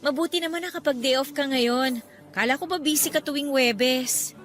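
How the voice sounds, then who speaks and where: An affectionate, trusting young girlfriend in a radio drama. Her voice is clearly high and conversational, easily tipping into questions, over soft background music. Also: moderate pace. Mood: light, teasing. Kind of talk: Tagalog radio drama acting.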